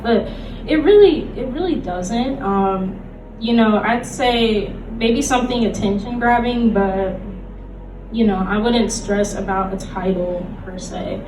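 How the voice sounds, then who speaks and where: A woman's voice: on the high side, background noise. A woman giving an informal convention panel presentation in a casual, hesitant, conversational way. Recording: roomy, distant mic, loud background music.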